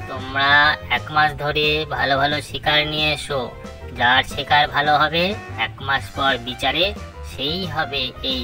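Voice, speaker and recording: A woman voicing a sly, clever fox scholar who plays judge in a children's cartoon, smooth-talking and scheming. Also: deep voice, bright, forceful tone. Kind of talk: children's cartoon voice acting in Bengali fable.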